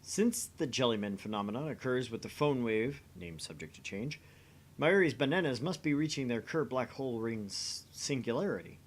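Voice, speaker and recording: A young mad-scientist type played by a man, grandiose and theatrical. His mid-range voice moves widely in pitch, suited to visual novel declarations and introspective narration. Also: expressive, moderate pace, a little hiss or hum, lightly acted. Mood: explanatory. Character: theatrical, pompous young self-styled mad scientist, inwardly anxious.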